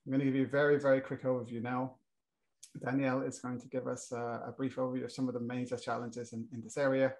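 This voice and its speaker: A man's voice: medium pitch, little pitch movement, fast talker, creaky, darkish tone. A middle-aged British man moderating a panel, introducing the topic with many fillers and restarts. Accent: British English. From Britain.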